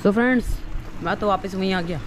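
A man's voice: sits high, a little fast, background noise. Chatty young man vlogging outdoors. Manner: chatty, addresses viewers as friends, mixes English words in. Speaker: travel vlogger. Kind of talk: casual walking-tour vlog. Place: outdoors in a village street. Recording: street crowd chatter behind.

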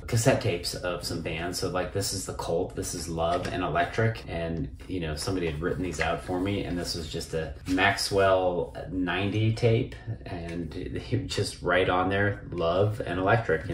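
A distant, echoey and noisy home-office recording of an American man in his fifties. He talks casually to the camera like a vlogger. His delivery is conversational and nostalgic, wandering from thought to thought. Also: low-pitched. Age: 50s. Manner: rambling, conversational. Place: small home office, indoors.

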